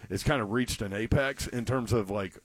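A man's voice: fairly low pitch, moderate pace, no room sound. A middle-aged man hosting a sports radio show, talking in a loose, rambling way with many restarts. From Nashville. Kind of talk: casual sports talk.

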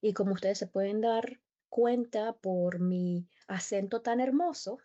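A woman whose first language is Spanish, speaking as a guest in a conversational interview with animated, emphatic storytelling. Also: mid-range voice, slow, lo-fi sound.

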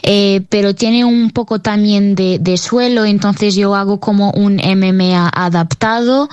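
A woman's voice: mid-range voice, steady tone, measured pace, a little mellow, clean tone, no echo, muffled recording. A woman in her twenties with a Portuguese accent, a professional fighter answering interview questions with hesitant, repeated restarts. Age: 20s.

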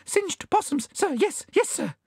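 A man voicing a small, terrified bandicoot in a storybook, shrill and quavering, with stammering, servile repetition. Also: sing-song, a little slow, dry recording, clean studio sound, exaggerated voice. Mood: nervous, servile.

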